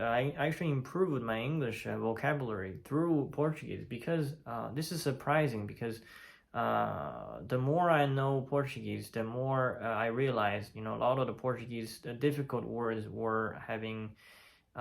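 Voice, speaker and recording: A man in his twenties from China, whose first language is Chinese, talks to his vlog audience in a somewhat low, gravelly voice. He speaks in halting bursts, full of fillers and restarted sentences, in a plain casual tone. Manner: hesitant, many fillers and restarts. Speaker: vlogger. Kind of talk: casual talk to camera. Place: lives in Italy.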